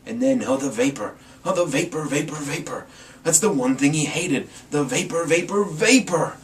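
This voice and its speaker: Guy reading a rhyming xmas story super dramatic n playful, really animated, little far from mic, faint noise. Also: fairly high pitch, moderate pace. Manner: theatrical, playful. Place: room with a green screen. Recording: distant mic, some background noise.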